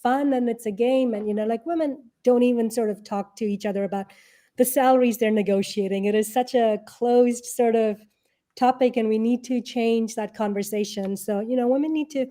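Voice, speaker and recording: A woman's voice: a little high, moderate pace, warm tone. A woman giving conversational interview answers as a guest, explaining informally and often posing rhetorical questions. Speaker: interview guest, real estate and angel investor.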